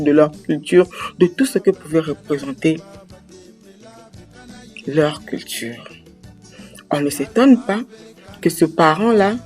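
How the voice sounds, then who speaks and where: A young man speaks with passionate emphasis. He hammers points home by repeating phrases, and his voice sits fairly high. Faint music runs underneath. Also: darkish tone. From Republic of the Congo. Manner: emphatic, repeats phrases for weight, building intensity. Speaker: host. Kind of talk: impassioned monologue on language and culture.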